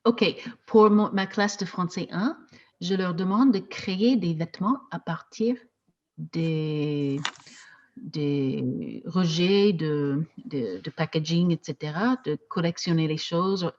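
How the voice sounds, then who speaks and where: A woman teaching French as a second language, talking casually with slow, hesitant speech and frequent restarts. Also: on the low side, darkish tone, lo-fi sound. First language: not French. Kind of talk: casual discussion in a video meeting.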